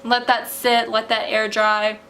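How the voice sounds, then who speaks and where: A young woman chats to her camera at home, with a slightly high voice and a loose, rambling way of talking full of fillers. Her voice sounds a bit tired from talking. A faint hiss sits under the recording. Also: flat delivery, unhurried, fairly bright tone. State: voice tiring from talking. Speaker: vlogger. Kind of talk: casual chat to camera.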